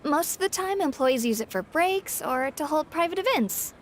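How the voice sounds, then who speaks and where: Bright-eyed, high-voiced young student. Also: female voice, expressive, moderate pace, slight background noise. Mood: helpful. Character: polite, eager young woman student. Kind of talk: video game dialogue.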